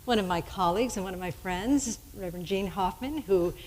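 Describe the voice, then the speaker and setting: A woman's voice: mid-range voice, fairly quick, a little mellow. Woman minister hosting a church service, chatty and easygoing, mid-range voice, long hall reverb. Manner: chatty, laughing easily. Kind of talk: casual on-stage interview. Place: church sanctuary with balcony. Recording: hall reverb from a large sanctuary.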